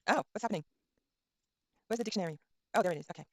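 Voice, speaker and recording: A middle-aged woman whose first language is Norwegian teaches a relaxed online language class. She thinks aloud, spells words letter by letter and fills pauses with ums. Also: medium pitch. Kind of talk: casual online lesson, thinking aloud while browsing.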